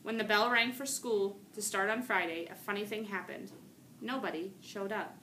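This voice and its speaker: A woman reading a children's novel aloud in an animated, emphatic storytelling manner. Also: medium pitch, normal speed, rough recording. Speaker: storybook reader, teacher or school staff. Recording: noticeable background room noise.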